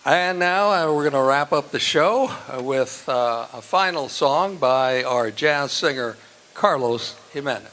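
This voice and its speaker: A man's voice: mid-range voice, lively intonation, unhurried. A man announcing in a scripted old-time radio style.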